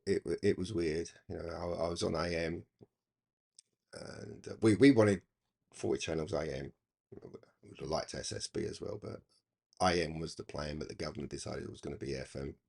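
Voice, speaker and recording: Older guy, really low raspy creaky voice, rambling on about old times, stop and start. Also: a little mellow. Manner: halting, rambling, reflective. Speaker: hobby radio channel presenter. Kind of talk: casual reminiscing monologue.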